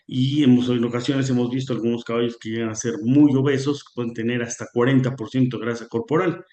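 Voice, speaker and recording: A man's voice: medium pitch, fairly even intonation, fast, warm tone. Hesitant man with a roomy echo. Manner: hesitant, many filler sounds and restarts. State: slightly sore throat. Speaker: guest lecturer on equine nutrition. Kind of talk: online conference talk.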